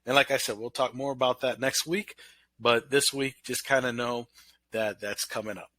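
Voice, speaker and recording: A man's voice: medium pitch, steady tone, normal speed, a bit bright, no room sound. Nasal man giving a casual class walkthrough. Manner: casual, rambling with many fillers and restarts. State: nasal from illness. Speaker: college instructor. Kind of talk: recorded online course walkthrough.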